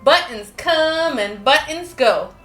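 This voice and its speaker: High, animated woman reading a picture book. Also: slow pace, echoey room, faint music behind. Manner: playful, animated, prompting with questions. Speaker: host reading a children's picture book aloud to a child. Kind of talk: interactive storybook read-aloud with singsong refrains.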